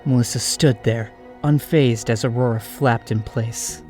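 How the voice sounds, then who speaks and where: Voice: medium pitch, fairly even intonation, measured pace, darkish tone. A narrator reading scripted storybook prose for an audio drama, over music.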